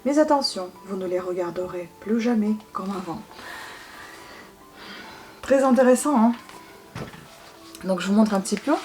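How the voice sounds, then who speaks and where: A woman's voice: medium pitch, normal speed, long pauses, darkish tone, faint music behind. A young woman from Ukraine whose first language is Russian chats enthusiastically to her viewers while showing off her books at home. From Ukraine, former USSR. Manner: chatty and enthusiastic, addressing viewers directly. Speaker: book vlogger. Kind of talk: casual show-and-tell to viewers.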